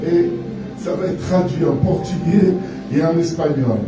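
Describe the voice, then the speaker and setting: A man from France preaches in short bursts, pausing for his interpreter between phrases. His voice sits a bit high and carries from some distance through a very echoey church. Music plays behind him. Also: very animated. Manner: pauses in short phrases for translation. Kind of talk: sermon with live interpreter. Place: large church hall.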